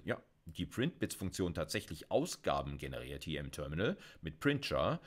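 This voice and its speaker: A middle-aged man lecturing in a relaxed, chatty way, with many fillers and self-corrections, recorded on a close mic in a small room with keyboard typing behind him. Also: low-pitched. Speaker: university lecturer. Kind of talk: casual recorded video lecture with live coding.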